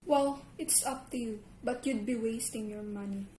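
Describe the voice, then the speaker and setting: A cynical, dismissive school official, acted by a woman in an amateur play. The voice is high but soft-edged and dark, in an echoey room with some noise behind it. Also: moderate pace, lightly acted. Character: cynical, dismissive school librarian or administrator guarding her books. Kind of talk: amateur one-act play acting.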